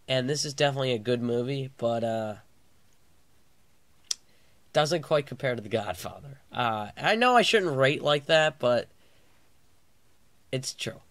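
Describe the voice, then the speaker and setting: A man's voice: medium pitch, fairly bright tone, no room sound. A man with a clogged nose and sore throat, rambling casually through a movie review vlog with many fillers and restarts.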